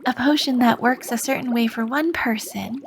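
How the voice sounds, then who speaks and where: A woman playing a charming, sly potion shopkeeper, talking slowly and softly with a teasing edge and dipping into whispers. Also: on the high side, vocal fry, slight background noise. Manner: slow, soft-spoken, teasing, drifting into whispers. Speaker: ASMR roleplay performer. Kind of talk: ASMR roleplay.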